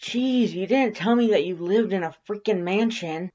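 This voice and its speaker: A reader voicing a loud, brash, excitable woman from a video game in fan fiction, yelling bluntly, on a slightly muffled recording. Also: mid-range voice, normal speed, voice pushed up, close to an everyday voice. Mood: loud, impatient.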